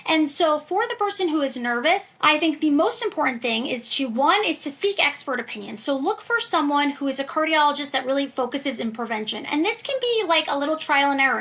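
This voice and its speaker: A woman's voice: sits high, animated, a little fast, hardly any pauses, fairly bright tone. A woman speaking as an expert guest on a podcast, explaining things conversationally in an emphatic, reassuring way. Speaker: guest expert, preventive cardiologist. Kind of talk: conversational podcast interview answers.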